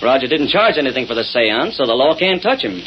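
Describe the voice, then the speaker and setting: An actor playing a brash, slangy, excitable newspaper reporter in a 1930s-style radio drama, speaking emphatically. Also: male voice, mid-range voice, a little fast, natural voice. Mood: brash, worked up. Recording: muffled, hissy old radio recording.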